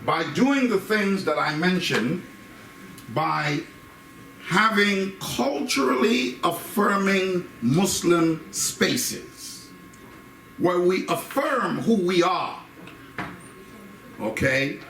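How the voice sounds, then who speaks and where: A middle-aged American man giving an animated public talk, emphatic, repeating phrases for effect with joking asides. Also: high voice, drawn-out words, long pauses. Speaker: guest speaker giving a talk on faith and identity. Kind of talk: animated public lecture to a live audience. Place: indoor hall with live audience. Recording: echoey room, distant mic, faint background noise.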